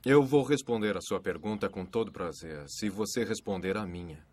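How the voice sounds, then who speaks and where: A man playing a calm, authoritative messiah who tells parables in a measured, solemn way in a dubbed Bible cartoon. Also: on the low side, close to an everyday voice. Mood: calm authority. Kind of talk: dubbed animated Bible drama, storytelling.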